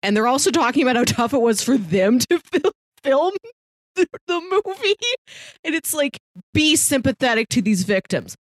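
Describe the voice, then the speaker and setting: A woman's voice: sits high, sing-song, moderate pace, dry recording, studio quality. A woman chatting casually about movies as a podcast co-host, explaining things in a lightly amused way.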